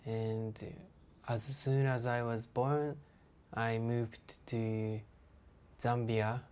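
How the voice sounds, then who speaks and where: Slow-speaking Japanese man, very low voice. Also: little pitch movement, darkish tone, clear, resonant tone. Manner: slow, careful non-native English. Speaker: interviewee. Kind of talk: documentary interview.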